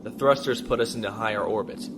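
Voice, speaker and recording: An actor playing a steady, dry-humored male spaceship captain in an audio drama, matter-of-fact in manner. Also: on the low side, quick pace, background noise, close to an everyday voice. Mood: concerned, practical. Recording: loud music bed behind voice.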